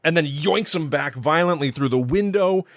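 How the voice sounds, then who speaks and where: A man's voice: fairly high pitch, moderate pace, dry recording. Enthusiastic man chatting casually, dry close sound. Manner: enthusiastic, jokey, slangy. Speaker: podcast co-host. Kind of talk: casual film recap chat.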